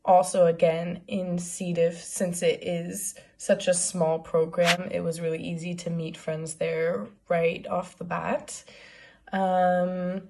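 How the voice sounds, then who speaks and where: A woman of twenty from the United States with an American accent, an exchange student answering interview questions in a relaxed, thoughtful way. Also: fairly low pitch, slow, gravelly. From United States (Oregon). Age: 20s.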